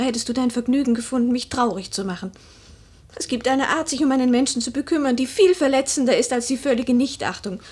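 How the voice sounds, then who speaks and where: A woman's voice: sits high, a little mellow, breathy edge. An actress playing a proud, melancholy, wounded young woman in mourning in a literary radio play, with grave theatrical phrasing. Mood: indignant.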